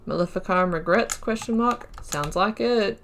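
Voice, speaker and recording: A woman's voice: mid-range voice, moderate pace, a bit bright, a little hiss or hum. Woman musing through a game playthrough. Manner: musing, thinking aloud with fillers and self-corrections. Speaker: gaming video creator. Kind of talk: casual let's-play commentary, reading in-game notes aloud.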